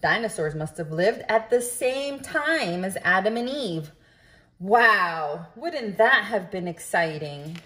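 A woman reading a children's book aloud in a deliberate, expressive way, as if speaking directly to young listeners. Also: mid-range voice. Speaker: storybook reader. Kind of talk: children's read-aloud narration.

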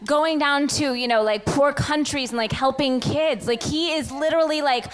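A woman's voice: sits high, normal speed. A woman hosting a live podcast, giving exaggerated comic commentary and laughing as she talks. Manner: exaggerated comic storytelling, laughs while talking. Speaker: podcast host. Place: theater stage before live audience. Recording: noisy live stage recording.

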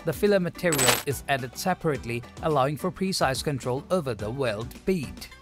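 A man narrating a scripted explainer video in an even, polished delivery without fillers. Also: medium pitch, fairly quick, faint music behind. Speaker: channel narrator.

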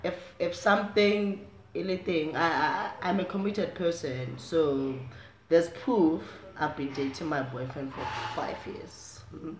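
A young South African woman with a low voice, chatting casually to the camera in a small room at home, animated and chatty. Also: a little slow, a little hiss or hum. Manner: chatty, animated, gestures while talking. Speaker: vlogger. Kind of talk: casual direct-to-camera chat. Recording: echoey room.

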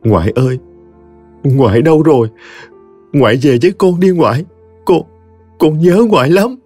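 A man voicing a grieving young woman, pleading in a high, strained, tearful voice. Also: expressive, a little fast, dry recording, faint music behind. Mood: anguished.

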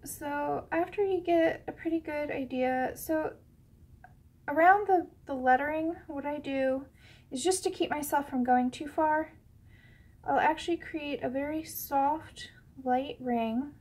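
A woman's voice: high-pitched, measured pace, darkish tone. A woman with a head cold, sounding a bit sniffly, chatting casually through a drawing tutorial, rambling with many ums and sometimes dropping to a whisper. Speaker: coloring tutorial host.

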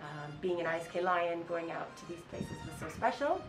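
Earnest young woman, slightly low voice. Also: animated, a little fast, far from the mic. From Kenya. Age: 20s. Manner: earnest, with fillers and restarts. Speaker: school counseling intern. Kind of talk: interview answers. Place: outdoors on a bench at a school campus. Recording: voice set back from the mic, music underneath.

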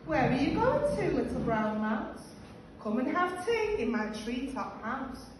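A woman voicing a hungry, easily startled male owl with drawn-out, exaggerated questions in a storybook. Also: high-pitched, very animated. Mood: coaxing. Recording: roomy, distant classroom recording, faint noise.

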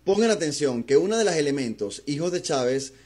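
A man's voice: on the high side, lively intonation, fairly quick, a little mellow, breathy edge. A man commenting on clips in a scornful, emphatic way. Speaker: clip commentator. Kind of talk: opinion commentary.

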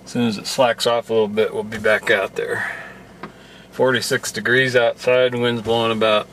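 A man's voice: medium pitch, flat delivery, normal speed, a bit bright. Matter-of-fact man at a noisy outdoor range. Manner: matter-of-fact, plain delivery. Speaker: channel host. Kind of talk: narrating shooting tests. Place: outdoor shooting range, partly in a car.

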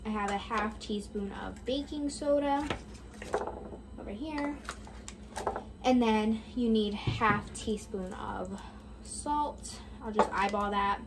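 A woman's voice: medium pitch, slow, long pauses, background noise. A young woman vlogger talking through a recipe in her home kitchen, chatty and offhand, thinking aloud as she bakes. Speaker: baking vlogger. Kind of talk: casual bake-along narration. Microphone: camera on the counter, speaker mostly off-frame.

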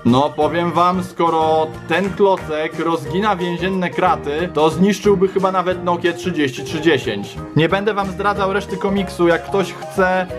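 A young man presenting a playful, informal toy review show in an enthusiastic, slangy way. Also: a little high. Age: young adult. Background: background music.

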